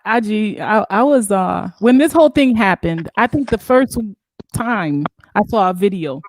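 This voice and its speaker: A woman from Haiti on a group call, talking in an animated, playful way and laughing often. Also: medium pitch, moderate pace, a little hiss or hum. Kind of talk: casual group discussion.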